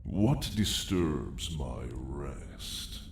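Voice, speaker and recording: Ancient dragon, imperious and menacing, really low dark voice, asmr roleplay, echoey with a bit of noise. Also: male voice, animated, vocal fry, exaggerated voice. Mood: suspicious, brooding. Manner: slow, menacing, imperious, drawn-out phrasing. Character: ancient arrogant male dragon woken in his lair. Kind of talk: ASMR roleplay story acting. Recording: echoing cavernous sound, faint noise.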